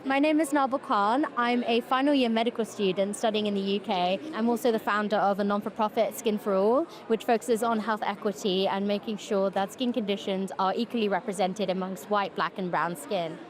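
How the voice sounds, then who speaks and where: Woman in her 20s, brisk confident intro, mid pitch, busy crowd behind. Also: steady tone. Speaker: medical student and nonprofit founder. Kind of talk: short self-introduction.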